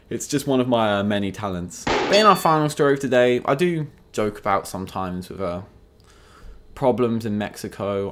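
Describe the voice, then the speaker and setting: A young English man delivering sarcastic, mock-outraged comedic news commentary as a vlogger. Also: lowish voice.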